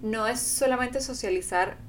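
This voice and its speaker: Earnest woman, higher voice. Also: moderate pace, slight background noise. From Venezuela. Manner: earnest, animated, acts out quoted speech. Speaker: podcast co-host. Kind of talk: casual couple podcast chat.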